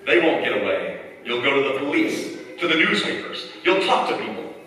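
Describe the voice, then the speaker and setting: A man's voice: medium pitch, very animated, brisk, bright, forceful tone, a little hiss or hum. A man playing a grave, urgent narrator in a staged 1940s radio drama, dramatic and intense. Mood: resolute. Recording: echoey hall, far mic, music behind. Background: music.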